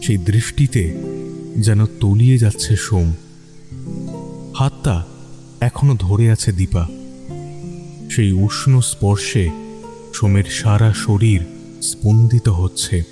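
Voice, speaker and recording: A man's voice: fairly low pitch, takes it slowly, long pauses, music in the background. A man reading a scripted dramatic story as its narrator.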